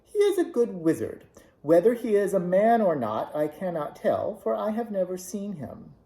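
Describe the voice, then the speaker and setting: A man voicing a kindly little old witch woman in a storybook reading, with a gentle, solemn manner, raised higher than his own voice. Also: sing-song, moderate pace. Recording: echoey room.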